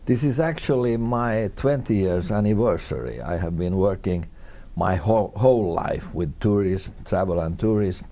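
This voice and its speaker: A man's voice: sits low, lively intonation, gravelly, dark tone. A middle-aged man from Finland whose first language is Swedish, speaking English as an interview guest with frequent repeats and restarts. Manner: halting, many repeated words and restarts. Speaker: guest, tourism consultant. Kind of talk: conversational interview answers.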